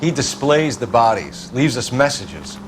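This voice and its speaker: A man's voice: medium pitch, very animated, a little slow, noisy background. An actor playing an earnest, educated police detective in his thirties in a 1940s crime game, precise, analytical and serious. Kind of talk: video game cutscene acting. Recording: music behind the voice.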